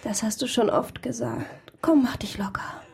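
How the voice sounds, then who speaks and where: An actress playing a teasing secret lover in a radio drama, coaxing in a breathy whisper. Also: female voice, fairly high pitch, normal speed, darkish tone. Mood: seductive, teasing. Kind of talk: German radio drama acting.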